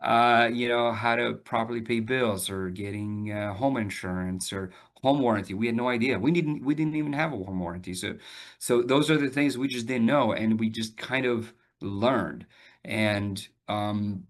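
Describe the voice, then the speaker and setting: A man in his forties, born and raised in Albania, telling his story in a relaxed interview with lots of fillers and restarts. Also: on the low side, normal speed. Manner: talks with frequent fillers and repeated starts, laughs now and then. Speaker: realtor guest.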